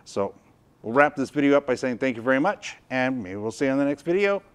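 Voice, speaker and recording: A man in his fifties with a medium-pitched voice presents a product review. He explains each point conversationally, in the casual style of someone demonstrating gear at a table. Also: lively intonation, fast talker, clean tone. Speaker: product reviewer and video host. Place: indoor studio workshop at a tabletop.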